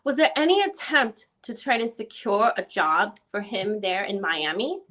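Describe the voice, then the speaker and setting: A woman playing a methodical, probing lawyer who puts formal, deliberate questions in a dramatic deposition reading. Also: mid-range voice, expressive, fairly bright tone.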